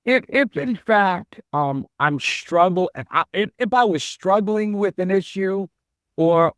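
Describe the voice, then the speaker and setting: A middle-aged man, a chief executive, answering interview questions in a slow, measured and reflective way. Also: sits high, smooth, dry recording, studio quality. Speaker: CEO guest.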